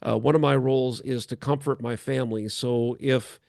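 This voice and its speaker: A man hosting a casual church chat show, speaking off the cuff in a halting way with frequent pauses. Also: mid-range voice, fairly even intonation, vocal fry, a little mellow, no room sound, studio quality.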